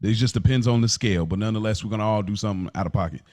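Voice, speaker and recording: Male American podcast host, slightly deep, deliberate delivery, reasoning aloud in casual discussion. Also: a little mellow, dry recording. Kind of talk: casual discussion, posing questions.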